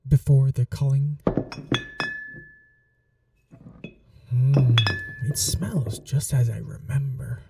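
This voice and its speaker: A woman playing an aristocratic, possessive vampire mistress in a teasing, quietly menacing, breathy, intimate ASMR roleplay, often dropping to a whisper. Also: a bass voice, no room sound, far from the mic, exaggerated voice. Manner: slow, languid, condescendingly affectionate. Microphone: close microphone, dry.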